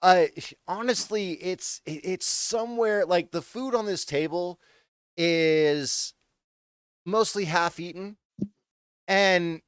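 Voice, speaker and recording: Slow, halting male game master. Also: high voice, clean tone, no room sound, studio quality. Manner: halting, unhurried narration with many fillers. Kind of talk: narrating a tabletop game.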